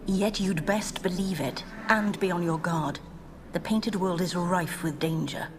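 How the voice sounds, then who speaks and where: Low, solemn female spirit guardian voiced by an actress. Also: measured pace, noisy background, close to an everyday voice. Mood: grave, foreboding. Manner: grave, formal, archaic phrasing. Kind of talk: dramatic video game acting.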